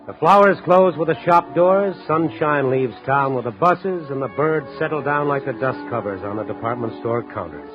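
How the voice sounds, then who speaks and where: An American actor playing a reflective, hardboiled night-beat newspaper reporter in a 1950s radio drama. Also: male voice, medium pitch, lively intonation, moderate pace, hardly any pauses, close to an everyday voice. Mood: brooding, reflective. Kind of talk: 1950s radio drama acting, first-person noir narration. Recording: muffled vintage radio recording with music.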